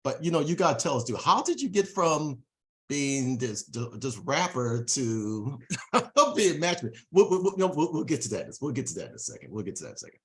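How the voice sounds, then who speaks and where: A man's voice: medium pitch, sing-song, a little fast. A man hosting a live online podcast interview, hyping up his guest with casual slang and repeated phrases, speaking over a video call. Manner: hype emcee energy, casual slang, repeats himself. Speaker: podcast host.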